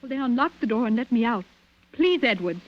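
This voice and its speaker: A woman's voice: on the high side, lively intonation, fairly quick, natural voice. An actress playing an anxious, hesitant young well-to-do woman in a 1940s radio thriller. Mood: frightened, pleading. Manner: hesitant, breathlessly earnest, pleading. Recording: muffled vintage radio recording, music behind.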